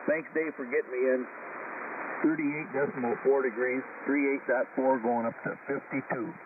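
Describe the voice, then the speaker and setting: A man's voice: mid-range voice, steady tone, normal speed. A retired older man chatting casually and rambling on a ham radio net. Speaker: caller. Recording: distant tinny radio with static.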